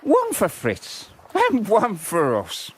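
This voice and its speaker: A man's voice: high voice, huge pitch swings, slow, husky, heavily acted. A man acting a cynical, fatalistic First World War private in a radio drama, with a blunt, colloquial manner. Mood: bitter, sardonic. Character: cynical, fatalistic WW1 private soldier in the trenches.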